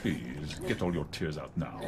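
Villain police commissioner, middle-aged: low-voiced, unctuous, menacing, theatrically varied delivery. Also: male voice, lively intonation, unhurried, creaky, a crowd in the background, exaggerated voice. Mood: smug, gloating. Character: smug, murderous, ruthless middle-aged male police commissioner. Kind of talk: sci-fi audio drama villain acting. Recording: video game audio, loud noisy background.

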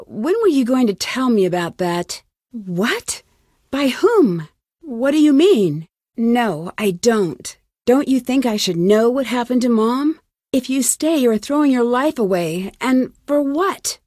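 Young American woman sleuth for a game: inquisitive, determined, earnest, slightly high pitch, very dry sound. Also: animated, slow, a little mellow, no room sound. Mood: earnest, challenging. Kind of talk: video game voice acting, isolated dialogue lines.

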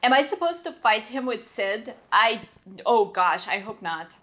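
A woman's voice: on the high side, animated, unhurried, pushed and bright. A young woman with a cold giving casual, chatty commentary while playing a video game, exclaiming and reacting as she goes. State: has a cold. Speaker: gaming YouTuber. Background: video game music.

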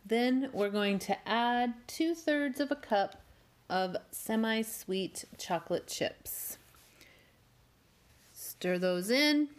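Slow, easygoing woman talking through a bake. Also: a little high. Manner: unhurried, gently wry asides. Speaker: home cooking channel host. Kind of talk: calm step-by-step baking instruction. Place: home kitchen.

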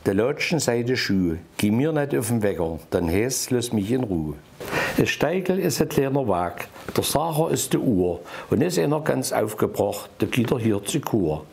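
A man in his sixties with an Erzgebirge Saxon accent, reciting a humorous dialect poem in rhythmic rhyme with a gravelly, husky voice. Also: medium pitch, darkish tone, a little hiss or hum. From Erzgebirge, Germany. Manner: rhythmic, rhyming delivery read from paper. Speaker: dialect poet. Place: small indoor room by a wood stove.

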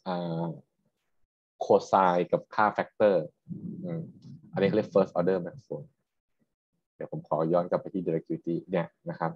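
A man's voice: deep voice, steady tone, takes it slowly, long pauses. A man lecturing on technical material, explaining step by step and often checking with questions. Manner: explanatory, checks understanding with frequent tag questions. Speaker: university lecturer. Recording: dull, roomy lecture recording.

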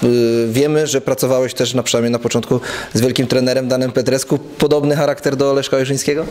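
Brisk male interviewer with flat intonation. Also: medium pitch. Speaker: club TV interviewer. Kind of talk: sports interview questioning.

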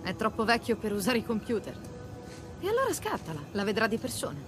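A woman's voice: on the high side, wildly swooping pitch, a little fast, over music. An actress playing a tough, guarded mercenary in her thirties, blunt and sardonic, in naturalistic video game dialogue. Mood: brisk.

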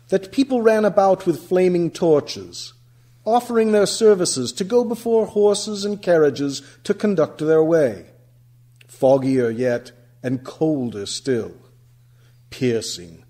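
Guy as radio play narrator, slow and dramatic, soft mellow tone, lots of rise and fall. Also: on the high side. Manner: deliberate, dramatic phrasing. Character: measured, dramatic storyteller of a ghost story. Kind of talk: scripted storybook narration.

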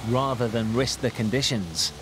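A man's voice: mid-range voice, moderate pace, heavy background noise. A man reading scripted, suspenseful television documentary narration in short punchy phrases. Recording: voice-over over loud music. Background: music.

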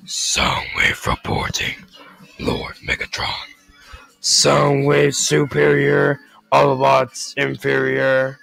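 A man's voice: medium pitch, big pitch swings, slow, vocal fry, fairly bright tone, dry recording, faint music behind, exaggerated voice, poor audio. A teenage boy puts on a cold robot henchman from a cartoon. He proclaims in short, droning phrases, haughty and loyal, close and dry. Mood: cold, proud.

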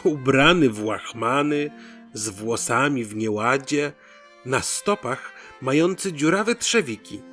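A man narrating a folk tale as host, in an expressive, theatrical storytelling style. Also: mid-range voice, slow, faint music behind.

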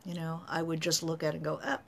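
A woman's voice: low voice, little pitch movement, quick pace, vocal fry, a little hiss or hum. A middle-aged woman, a novelist, talking casually on a live stream from her home study, thoughtful with many fillers. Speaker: novelist answering writing questions.

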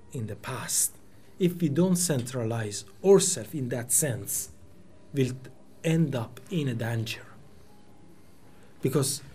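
Slow, thoughtful man with a Persian first language. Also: mid-range voice, mellow, a little hiss or hum. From Iran. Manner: thoughtful, searching for words, many restarts and fillers. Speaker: poet giving a talk. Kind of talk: reflective lecture. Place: small meeting room indoors. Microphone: table microphone.

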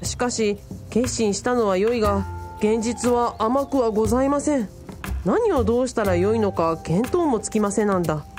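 A woman's voice: on the high side, darkish tone, lightly acted. A woman playing an earnest young male part-timer in a radio drama, telling his tale with pompous, very polite theatricality. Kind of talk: radio drama, formal old-fashioned storytelling.

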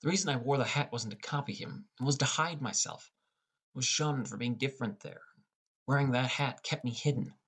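A young man reading a scripted first-person audiobook as a depressed high school boy. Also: fairly quick, a bit bright, husky. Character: depressed, irritable high school boy telling his story. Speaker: audiobook narrator.

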